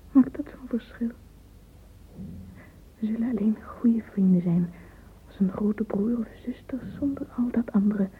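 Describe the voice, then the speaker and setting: An actress playing a dreamy, romantic young woman in a radio play monologue, whispering intimately and fervently through a narrow, phone-like recording. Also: on the high side. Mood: dreamy, wistful. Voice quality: breathy, whispery. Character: dreamy romantic young woman lost in yearning love fantasies.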